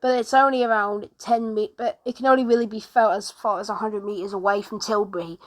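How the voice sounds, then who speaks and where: A woman narrating a homemade mock emergency broadcast in a halting way, often restarting her sentences. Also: medium pitch, fairly even intonation. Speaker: narrator of an emergency alert scenario video.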